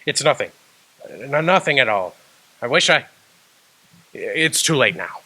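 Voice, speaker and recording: A man acting out an aging miser in a radio play, his bright voice swinging widely in pitch. He halts and wavers as he moves between grief and fond delight. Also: big pitch swings, unhurried, exaggerated voice. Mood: sorrowful, hesitant. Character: old miser man, remorseful, reliving his youth.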